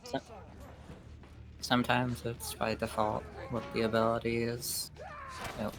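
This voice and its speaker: A person playing a video game with friends, explaining things in halting, broken phrases. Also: mid-range voice, completely flat delivery, very noisy. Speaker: co-player. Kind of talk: casual gaming chat.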